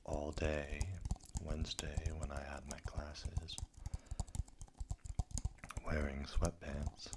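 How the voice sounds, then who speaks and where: A young adult whispers slowly and softly in an extremely deep, raspy voice, rough from a sore throat, close to an ASMR microphone. The pitch barely moves and the words drift along at an unhurried pace. A steady hiss sits under the whispering. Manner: soft, slow, breathy whispering. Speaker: ASMR creator. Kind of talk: slow whispered ASMR chat.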